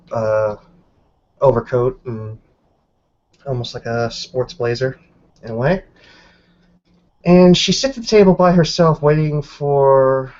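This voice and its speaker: A man hosting and narrating a tabletop roleplaying game as game master, in a measured, formal storytelling manner. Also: mid-range voice. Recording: slightly dull lo-fi mic.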